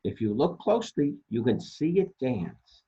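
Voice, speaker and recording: A man's voice: mid-range voice, big pitch swings, a little slow, dark tone, breathy edge, lo-fi sound, full character voice. A man voicing a dreamy, fanciful female toy stingray with a lilting, wondering manner in a children's picture-book reading.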